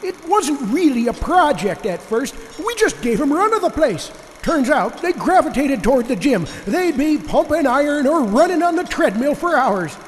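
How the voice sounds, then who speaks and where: A man playing an excitable staff scientist in a cartoon, lecturing on absurd secret projects. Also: extremely high pitch, moderate pace. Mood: explaining. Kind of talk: cartoon character acting. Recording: echoey hall with noise behind.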